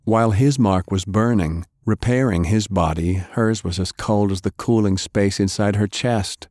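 Deep-voiced male audiobook narrator. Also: unhurried, a little mellow, dry recording, studio quality. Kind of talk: dramatic scripted fiction narration.

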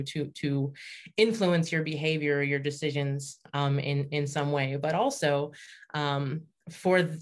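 A woman speaking as a writer interviewed about fiction, explaining conversationally in thoughtful, articulate, measured phrases. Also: sits low.

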